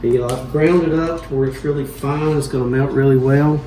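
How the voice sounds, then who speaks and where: A man's voice: medium pitch, fairly even intonation, a little fast, warm tone, low-quality recording. A man, hosting a home cooking show and walking viewers through making pizza in a folksy, instructive way.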